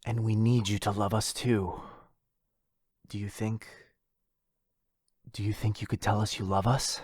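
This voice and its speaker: A man's voice: lowish voice, little pitch movement, measured pace, a little mellow, breathy edge, no room sound, clean studio sound. A man playing a lovestruck, possessive, centuries-old vampire brother in a boyfriend roleplay, tender and pleading. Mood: anxious pleading.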